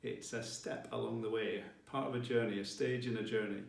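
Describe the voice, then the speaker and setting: A man giving a formal ceremonial address as a college leader, with measured, deliberate phrasing. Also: on the low side, steady tone, vocal fry. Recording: roomy, distant mic, faint music behind.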